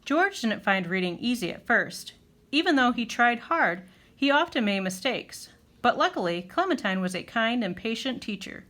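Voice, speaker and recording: Animated, bright-voiced woman reading a children's story. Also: medium pitch. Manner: animated, clearly phrased storytelling. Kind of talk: expressive scripted children's story narration.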